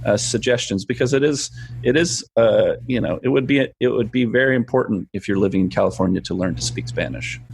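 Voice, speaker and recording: A man hosting a podcast interview, asking halting questions with frequent restarts. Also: medium pitch, vocal fry, husky. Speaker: podcast host and junior high teacher.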